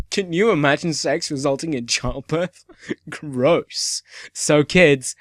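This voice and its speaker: A man's voice: on the high side, takes it slowly, no room sound, studio quality. A man playing a cheerful yet sinister dystopian radio host, delivering scripted propaganda in a theatrical radio-play style. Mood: chipper, mocking. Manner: theatrical, dramatic, swinging from grand proclamation to hushed menace. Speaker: radio announcer.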